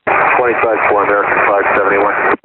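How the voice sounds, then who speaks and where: A man's voice: fairly low pitch, flat delivery, brisk. A man speaking as an airline pilot in clipped radio calls and readbacks over aviation radio. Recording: noisy, tinny cockpit radio transmission. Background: radio static, vehicle noise.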